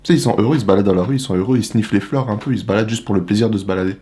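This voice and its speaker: A young man from the Republic of the Congo giving a casual, animated, slangy monologue to the camera as a vlogger. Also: fairly low pitch, rapid-fire. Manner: animated, slangy, rhetorical questions.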